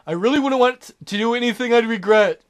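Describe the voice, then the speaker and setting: A man voicing a cold, scheming middle-aged kidnapper boss who threatens politely and calmly in a light, high voice. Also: fairly quick. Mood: calm menace. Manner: calm, smooth, quietly threatening.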